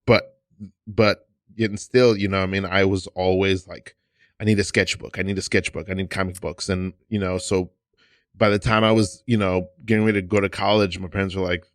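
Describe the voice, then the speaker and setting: Low-voiced man hosting a chatty podcast. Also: level tone, brisk, no room sound, studio quality. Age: 30s. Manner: rambling, restarts, plenty of "you know what I mean". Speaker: podcast host. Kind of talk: casual chat. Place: podcast studio.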